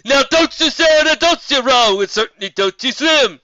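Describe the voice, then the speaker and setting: A man's voice: very high voice, expressive, bright, forceful tone, voice pushed up, full character voice. A man singing as a rhyming female zebra bard. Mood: mocking. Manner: sings in slow rhyme. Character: solemn storytelling female zebra singer. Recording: dull lo-fi audio.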